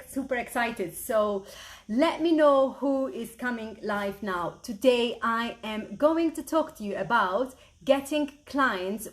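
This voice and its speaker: Eager, upbeat woman mentor, slightly high. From Malta. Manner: upbeat, eager, repeats "super" a lot, greets viewers by name. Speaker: online business mentor. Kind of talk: live-streamed talk to viewers. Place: at home at a computer.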